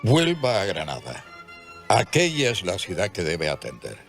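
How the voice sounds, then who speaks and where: Radionovela: authoritative priestly mentor, low and creaky, animated intonation, solemn counsel. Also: male voice, measured pace, faint music behind, heavily acted. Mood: firm, advising. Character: wise, authoritative priestly spiritual master. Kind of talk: solemn radio drama acting.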